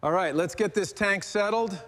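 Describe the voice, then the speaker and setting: Man narrating in long hall reverb, higher-pitched. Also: normal speed, noisy background. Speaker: host narrating a motorcycle restoration. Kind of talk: scripted narration, calm workshop commentary.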